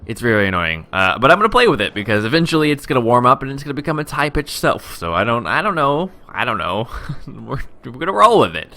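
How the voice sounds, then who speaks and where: Young man, video game commentary, just woken up, rambling, lively up-and-down pitch. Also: fast. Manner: rambling, self-interrupting, chuckling asides. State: just woken up, voice lower than usual. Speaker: gaming video host. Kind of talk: casual let's-play commentary.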